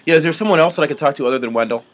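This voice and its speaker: A man's voice: on the high side, very fast, a little hiss or hum. A man making an assertive, argumentative complaint on a phone call, speaking informally and indignantly. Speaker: complaining caller, authorized third-party contact.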